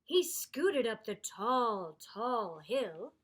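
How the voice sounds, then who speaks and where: A woman reading aloud to children in an expressive storytelling style, with deliberate, dramatic emphasis. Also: on the high side. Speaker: storybook reader.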